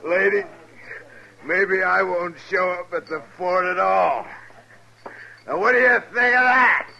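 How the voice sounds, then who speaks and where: An actor playing a belligerent, stubborn drunk frontier soldier with slurred, defiant speech in an old radio western. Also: male voice, high-pitched, slow, gravelly, bright, forceful tone, lo-fi sound, slight background noise, full character voice. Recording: vintage 1950s radio recording.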